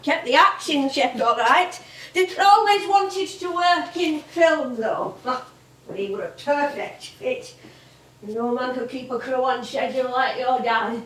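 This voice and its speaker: An old music hall comedienne, played by a woman, effusive and wry, with a high voice and an unhurried pace. Her theatrical delivery rings out with echo across a stage. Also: fairly bright tone. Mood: wry, nostalgic. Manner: effusive, wry, given to endearments. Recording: echoey theatre stage from far off.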